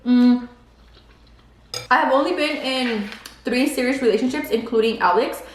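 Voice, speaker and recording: A woman's voice: fairly high pitch, normal speed, roomy sound, slight background noise, low-quality recording. A woman in her twenties whose first language is Spanish, chatting casually on a vlog and saying "like" a lot. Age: 20s. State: pregnant. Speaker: beauty vlogger. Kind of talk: casual chat while eating.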